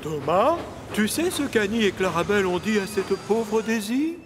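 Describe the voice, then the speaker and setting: An actor playing a pompous but kindly railway controller in a children's cartoon dub. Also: male voice, sits high, very animated, normal speed, noisy background. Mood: stern.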